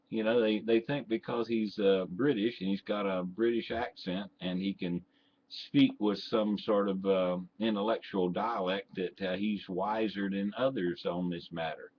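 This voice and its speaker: A man's voice: fairly low pitch, measured pace, slightly rough. An older man with a Texan accent, talking bluntly and indignantly straight to camera, full of "uh"s and restarts. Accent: Texan, mixed with Southern. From Texas. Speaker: vlogger and ham radio operator. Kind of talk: opinionated rant to camera. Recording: dull lo-fi home recording with faint noise.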